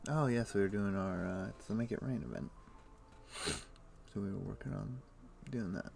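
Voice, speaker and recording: A tired man streaming a game, casually greeting his chat in a relaxed way. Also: on the low side, normal speed, vocal fry.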